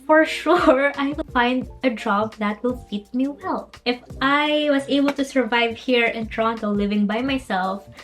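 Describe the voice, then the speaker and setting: A young woman from the Philippines, speaking English as a second language, talking earnestly in a reflective personal vlog and encouraging herself with soft laughs. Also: a little high, a little slow, hardly any pauses. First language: Filipino language. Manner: earnest, self-encouraging, laughs softly between thoughts. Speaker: vlogger.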